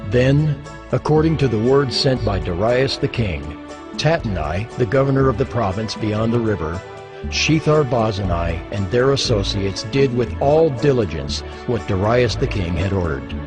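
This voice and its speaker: A man narrating a dramatized Bible in a measured, formal storytelling style. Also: medium pitch, expressive. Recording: music bed under the voice.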